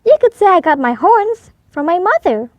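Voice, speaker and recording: Woman voicing an amused, high-voiced rhinoceros. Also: big pitch swings, moderate pace, dry recording, lo-fi sound. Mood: amused, matter-of-fact. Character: amused, matter-of-fact adult rhinoceros.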